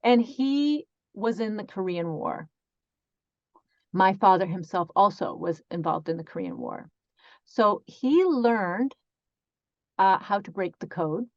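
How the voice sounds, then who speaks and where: A woman voices a signing guest in real time, talking in the first person and correcting herself mid-sentence as she goes. Her pitch is middling. The sound is dry and a little muffled, like an online call. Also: lively intonation, slow pace, pauses often, no echo. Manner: speaks in the first person for the guest, with restarts and fillers. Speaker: sign language interpreter voicing a deaf guest. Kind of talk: live interpretation in an interview.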